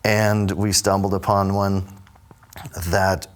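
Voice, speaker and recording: A man speaking in a casual studio conversation as a producer, halting with frequent fillers and restarts. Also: low voice, completely flat delivery, clean tone. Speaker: record producer.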